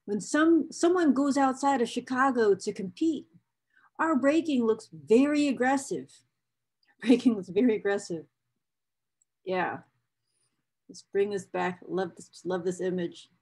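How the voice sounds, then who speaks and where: Slow-paced, higher-voiced woman reading aloud emphatically. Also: darkish tone, slightly rough. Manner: emphatic, repeats phrases for effect; enthusiastic, emotionally invested. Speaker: presenter reading a book excerpt aloud. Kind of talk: book reading with casual asides to viewers.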